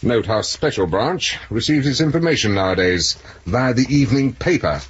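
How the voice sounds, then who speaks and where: An actor playing a sardonic, exasperated male British police superintendent with a husky voice and a dry manner, in a 1970s radio drama. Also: mid-range voice, animated, moderate pace, slight background noise, lightly acted. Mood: wry, explaining. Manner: dry, sarcastic. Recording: dull 1970s radio recording.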